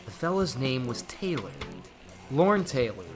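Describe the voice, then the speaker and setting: A man's voice: mid-range voice, very animated, a little slow. Radio play man as courteous, needling businessman, middle register, over a music bed. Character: polite, probing businessman customer of a bootlegger, adult man. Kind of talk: old time radio drama acting. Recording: music bed behind the dialogue.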